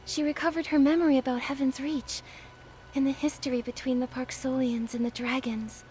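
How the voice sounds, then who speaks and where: Woman voicing a young fantasy game heroine, high pitch, gentle, sincere, thoughtful, clear music behind. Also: close to an everyday voice. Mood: earnest. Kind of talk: game cutscene acting.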